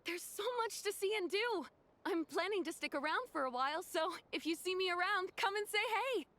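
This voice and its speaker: A woman's voice: very high voice, animated, brisk, fairly bright tone. A woman playing a breezy, sociable girl traveler in a video game, casual and friendly. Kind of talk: video game story dialogue.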